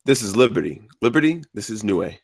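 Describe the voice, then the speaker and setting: Gravelly, easygoing teenage boy, played by a man. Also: lowish voice, big pitch swings, a little fast, no echo, studio quality. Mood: friendly. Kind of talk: screenplay table read.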